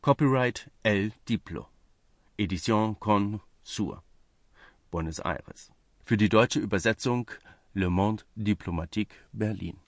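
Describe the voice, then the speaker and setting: A man's voice: lowish voice, unhurried, dry recording, studio quality. A man reading a scripted piece of political journalism aloud as narration. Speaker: reader of a translated news article.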